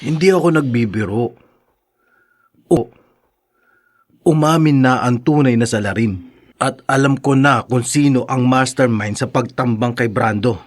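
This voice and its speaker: An actor playing a loyal, encouraging friend of a prisoner, earnest and reassuring, in a radio drama. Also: male voice, medium pitch, a little slow, long pauses, close to an everyday voice.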